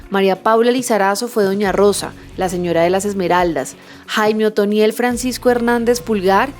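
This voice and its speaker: A woman's voice: medium pitch, a little mellow. A woman reading scripted podcast credits as an announcer.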